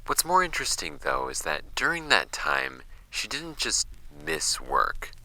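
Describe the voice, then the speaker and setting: An actor playing an investigator who narrates a case file in a dry, measured way for an audio drama. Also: male voice, on the low side, wildly swooping pitch, sharp tone, exaggerated voice, poor audio.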